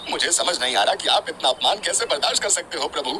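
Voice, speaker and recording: A man's voice: on the high side, expressive, fast, background noise, natural voice. A man voicing a pious, indignant Brahmin priest with exclamatory, pleading speech in a devotional story. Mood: aggrieved.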